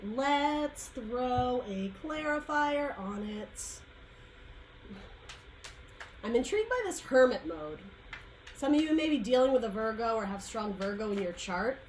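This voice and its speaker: Tarot reader, woman, higher voice, dry worn throat, loose rambling delivery. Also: expressive, a little slow, pauses often, darkish tone, a little hiss or hum, poor audio. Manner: rambling, searching for words, frequent "like" and "um". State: dry, losing her voice. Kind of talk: casual tarot reading monologue.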